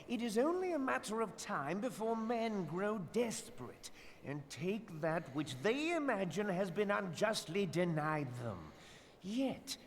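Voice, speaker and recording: Smug rich game merchant, slow deliberate delivery, high pitch, mocking, scheming, haughty. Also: male voice, heavily acted. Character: smug, scheming, wealthy male merchant lord. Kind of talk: theatrical video game voice acting. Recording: reverberant hall, light background noise.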